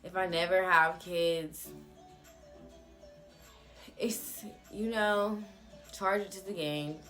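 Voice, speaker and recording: Low-pitched young woman, candid and blunt. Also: a bit bright. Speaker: vlogger telling a personal story. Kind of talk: casual confessional storytelling to viewers.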